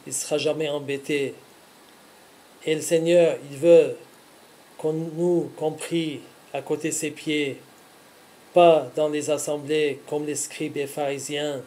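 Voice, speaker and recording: A man speaking earnestly in short, deliberate phrases, as a religious host giving scripted devotional teaching. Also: on the high side, mellow.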